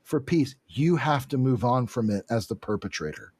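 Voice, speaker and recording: Male podcast co-host, medium pitch, conversational recap style, close dry recording. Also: expressive, moderate pace, a little mellow, slightly rough, no echo. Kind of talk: casual comic-book recap and commentary.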